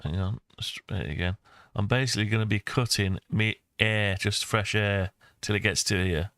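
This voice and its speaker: A man's voice: fairly low pitch, moderate pace, a bit bright, no echo, clean studio sound. A man in his sixties giving a casual screen-recorded tutorial, rambling and thinking aloud as he goes. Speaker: maker and video host.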